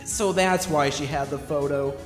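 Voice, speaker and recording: A woman's voice: low-pitched, normal speed, faint music behind, lightly acted. Woman playing a flustered young male lawyer. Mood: incredulous. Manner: hesitant, stammering, incredulous. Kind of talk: amateur fandub of a video game.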